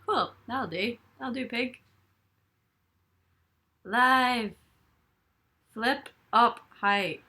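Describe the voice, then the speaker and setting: Young woman, bright voice, rambling giggly live-stream chat from her bed. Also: fairly high pitch, drawn-out words, long pauses. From Ireland. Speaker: livestreamer. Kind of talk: casual live-stream chat, reading comments. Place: bedroom, in bed.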